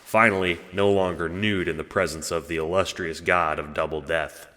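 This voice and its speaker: A man's voice: low voice, fairly even intonation, normal speed, sharp tone, long reverb tail. A man reading scripted second-person narration for an audio drama.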